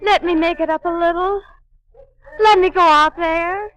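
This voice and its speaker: A woman's voice: very high voice, steady tone, measured pace, a bit bright. An actress playing a coy, murderous female circus trapeze artist in 1940s radio acting, teasing and purring. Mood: pleading. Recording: dull lo-fi 1940s radio broadcast.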